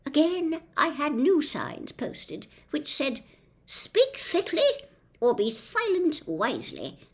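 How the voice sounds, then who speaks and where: A woman voicing a pleasant little old lady witch in a storybook, with measured, genteel phrasing and a small voice. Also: high-pitched, wildly swooping pitch, pitched above the performer's own voice, exaggerated voice. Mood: confessional.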